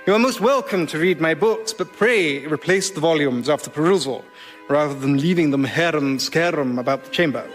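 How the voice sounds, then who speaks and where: Man acting a prim, highish-voiced Victorian gentleman. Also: normal speed, soft background music, close to an everyday voice. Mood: stiffly indignant. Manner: formal, prim, pompous. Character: fussy, indignant Victorian gentleman scientist writing formal letters. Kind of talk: radio sketch comedy acting.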